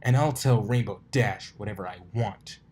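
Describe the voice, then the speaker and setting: A man's voice: fairly low pitch, moderate pace, darkish tone, slight background noise, close to an everyday voice. A man voicing a cocky young pegasus stallion, angry and confused, with a defiant, blustering manner in an amateur storybook reading. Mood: angry defiance.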